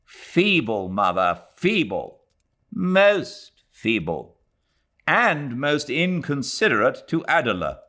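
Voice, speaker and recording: A man's voice: mid-range voice, big pitch swings, slow pace, pushed and bright, pitched above the performer's own voice, exaggerated voice. A man voicing a pompous young English colonial official in a novel, officious, curt and impatient. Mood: officious, scolding.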